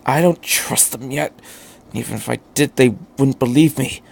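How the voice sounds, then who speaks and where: A man's voice: medium pitch, moderate pace, creaky. A man voicing an intense, eccentric alien scientist hero in a fan story, with a grim, urgent and emphatic manner. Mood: calculating.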